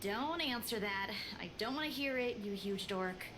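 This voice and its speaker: A woman's voice: fairly high pitch, animated, normal speed, natural voice. An actress playing an exasperated, teasing young woman in a visual novel. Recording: noisy game audio with ambience.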